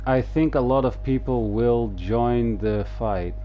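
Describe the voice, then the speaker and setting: A man streaming a game, reading dialogue aloud in a halting, low-key way. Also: warm tone, muffled recording. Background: game music.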